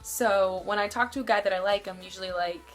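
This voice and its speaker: A young woman with a somewhat deep voice, giving casual advice to her camera and rambling with lots of fillers. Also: steady tone, fast talker, soft background music. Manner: chatty, rambling, many fillers. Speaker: vlogger.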